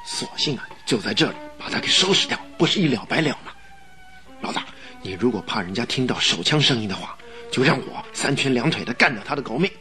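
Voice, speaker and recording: An actor playing a hot-headed wrestler henchman in a radio drama, talking in a rough, agitated, blustering way. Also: mid-range voice, sharp tone.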